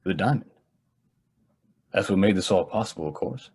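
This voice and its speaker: A low, husky, privileged young heir. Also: male voice, lively intonation, normal speed, darkish tone, full character voice. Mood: matter-of-fact. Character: privileged young heir, evasive, coolly matter-of-fact. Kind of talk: old-time radio drama acting.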